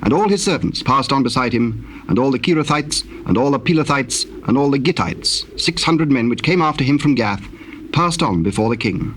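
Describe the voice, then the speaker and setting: Male narrator, British, solemn and measured, dramatized Bible reading, mid pitch, noisy old tape. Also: animated. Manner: formal, measured storytelling. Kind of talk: solemn scripted scripture narration.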